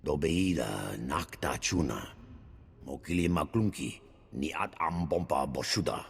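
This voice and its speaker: A menacing alien from a space game, speaking an invented tongue. The voice is extremely deep and very rough, ponderous in delivery, with long reverb around it. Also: male voice, animated, a little slow, exaggerated voice. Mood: ominous. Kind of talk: video game dialogue in an invented alien language. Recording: long reverb, music underneath.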